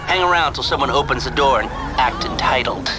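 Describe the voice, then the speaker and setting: An actor playing a brisk, bossy accomplice giving instructions in a video game. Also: male voice, medium pitch, loud music behind the voice, close to an everyday voice. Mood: brisk, instructing.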